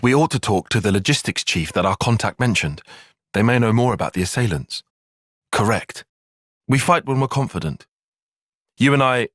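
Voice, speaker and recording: A man's voice: mid-range voice, fairly bright tone, no room sound, clean studio sound. A man with a British accent playing an earnest, analytical young soldier in a video game, speaking in formal, precise phrases. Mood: measured, serious. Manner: formal, precise, bookish wording. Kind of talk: video game cutscene acting.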